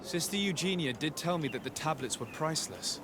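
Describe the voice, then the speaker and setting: An actor playing a polite, composed noble envoy in a video game, formal, courteous and inquiring. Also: male voice, on the high side, lively intonation, brisk, background noise, natural voice. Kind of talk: video game cutscene acting.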